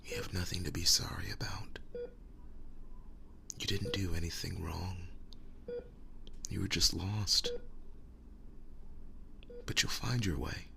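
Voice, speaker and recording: Man playing a deep, whispery, slow-talking werewolf boyfriend. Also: steady tone, noisy background, heavily acted. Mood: gentle, reassuring. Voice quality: soft, breathy, often dropping to a whisper. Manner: earnest, halting, reassuring. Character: devoted, tender werewolf boyfriend sharing his soul with demon knight. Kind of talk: ASMR roleplay, intimate monologue.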